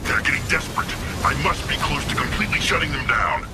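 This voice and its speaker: An actor playing a brash, reckless alien warlord, a cocky and impatient younger brother, in a video game. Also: male voice, medium pitch, sing-song, fast talker, natural voice. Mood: eager. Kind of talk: video game cutscene acting. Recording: loud music behind the voice. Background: loud music.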